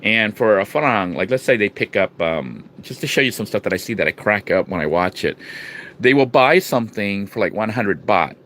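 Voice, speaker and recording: Low-voiced man from the US, casual rambling vlogger, restarts a lot, faint hiss behind. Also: expressive, moderate pace. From United States. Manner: rambling, with frequent restarts and fillers; swings from low murmurs to sudden loud emphatic bursts. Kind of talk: casual vlog talk to camera.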